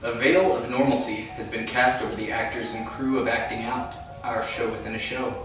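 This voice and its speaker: Man as mock-serious comedy narrator, even delivery, heavily reverberant over music. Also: mid-range voice, fairly quick, natural voice. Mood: mock-solemn. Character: solemn male narrator of a farcical web show. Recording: echoing, distant, over music.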